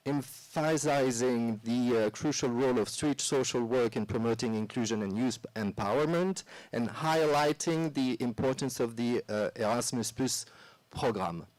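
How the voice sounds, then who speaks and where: Male moderator, mid pitch, hesitant delivery, dry close sound. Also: a little mellow, no echo, rough recording. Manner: hesitant, filled with uh and restarts. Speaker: moderator of the seminar. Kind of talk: moderating a seminar. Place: press club seminar room.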